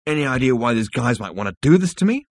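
A man's voice: mid-range voice, very animated, fast talker, dry recording, voice pushed up. A cocky, slangy space rogue, acted out by a male audiobook reader, lifts his voice well above natural talk. He sounds suspicious and demanding, in a very dry recording. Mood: flippant. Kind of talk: audiobook character dialogue.

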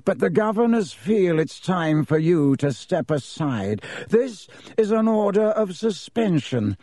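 A haughty aristocratic villain, as a male narrator plays him, sneering through every smooth line in a mellow, swooping drawl. He is lazily polite and coolly menacing, as in a dark storybook. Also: sits high, no room sound, clean studio sound, voice pushed up, exaggerated voice.

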